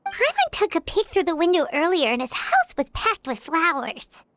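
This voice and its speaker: A woman voicing a small, chirpy female companion creature in a video game, with a high, childlike, bubbly and curious way of talking. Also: huge pitch swings, moderate pace, dry recording, exaggerated voice. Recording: soft background music.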